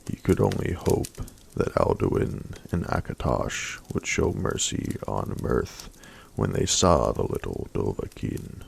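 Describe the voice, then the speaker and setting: A man reading a fantasy story aloud in a hushed, mostly whispered ASMR style. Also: extremely low pitch, sing-song, slow, vocal fry, few pauses, husky. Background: faint campfire crackle.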